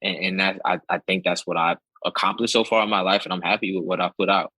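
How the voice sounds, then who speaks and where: Male guest, from Connecticut, relaxed, husky low voice, dry sound. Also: fast talker, no echo. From Connecticut, USA. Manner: relaxed, easygoing, speaks plainly about his faith. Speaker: rapper guest. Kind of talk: casual interview chat.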